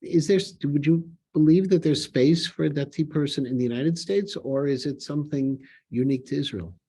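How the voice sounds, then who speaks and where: A man's voice: on the high side, fairly quick, dark tone, breathy edge. A man interviewing a guest, asking polite, curious questions with some hesitant starts. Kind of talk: conversational interview.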